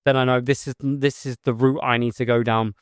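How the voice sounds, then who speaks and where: A man talks straight to his viewers in a steady, monotone, settling voice, earnest and a little rambling. His voice sits at an everyday middle pitch. The sound is close and dry, with no room echo. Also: fairly quick, clean tone. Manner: rambling, earnest, with filler phrases and restarts. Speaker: vlogger, heavy goods mobile mechanic. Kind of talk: casual talk to camera.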